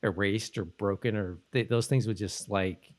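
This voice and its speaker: Male podcast co-host, slightly low pitch, easygoing slangy recap conversation. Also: fairly even intonation, moderate pace, a little mellow. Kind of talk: casual TV recap chat.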